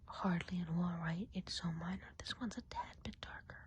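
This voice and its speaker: A woman's voice: on the low side, moderate pace, lightly acted. A woman playing a whispering, gossipy woodland witch. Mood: gentle, musing. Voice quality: soft breathy whisper. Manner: confiding, gossipy, asks the listener questions. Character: chatty gossipy woodland witch planning enchanted festival. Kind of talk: ASMR roleplay, whispered.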